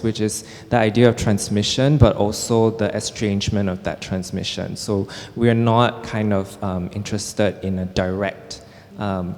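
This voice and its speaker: A man hosting the conversation, asking measured questions with short pauses. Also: fairly low pitch, darkish tone. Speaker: host and curator. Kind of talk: interview questions. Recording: hall with long reverb.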